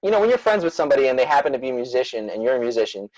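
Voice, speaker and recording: A man's voice: mid-range voice, big pitch swings, rapid-fire, a little mellow, dry recording, highs cut off. A man from Queens, New York, chatting casually as a musician guest in an interview over a video call. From born in former Soviet Union, raised in Queens, New York.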